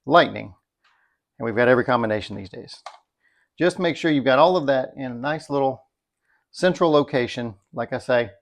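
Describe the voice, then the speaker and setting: A middle-aged man with a creaky, gravelly edge to his mid-pitched voice. He talks like a reviewer chatting to his viewers, unscripted and easygoing, working things out aloud in a folksy way. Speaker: product reviewer, video host. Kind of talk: casual unscripted product review.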